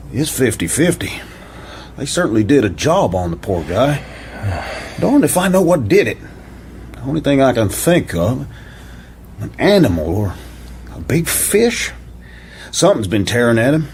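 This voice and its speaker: A man's voice: medium pitch, very animated, a little slow, pauses often, darkish tone, slight background noise. A man playing a grave, plain-spoken doctor in a radio drama. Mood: grave, puzzled.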